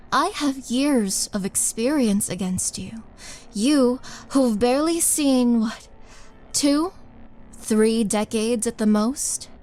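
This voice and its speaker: A woman performs a muscular, bloodthirsty half-orc woman in an intimate roleplay. She speaks extremely slowly in a slightly high voice, menacing and teasing, with breathy dips toward a whisper. Music plays clearly behind her. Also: darkish tone. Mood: taunting, amused. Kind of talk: intimate audio roleplay acting. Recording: background music under voice.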